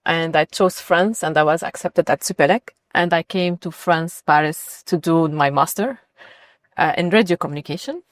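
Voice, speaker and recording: Woman from Lebanon, low pitch, dry sound, enthusiastic, conversational researcher guest. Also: normal speed, no echo. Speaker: interview guest, robotics and AI researcher. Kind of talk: conversational interview answers.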